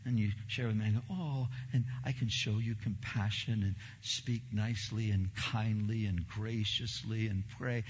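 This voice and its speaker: A pastor preaching a sermon in a church, a man with a big voice who swings from low, slow stresses to loud, emphatic bursts. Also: fairly even intonation, breathy edge, in a large hall, lo-fi sound.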